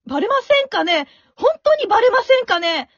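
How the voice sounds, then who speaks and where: A polite, upbeat show assistant with a high, bright voice that jumps around, played by a woman. The recording is dry and dull, like a lo-fi home-made radio drama. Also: fairly quick, no room sound. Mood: doubtful. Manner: polite, lively reactions. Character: polite female show assistant, straight man.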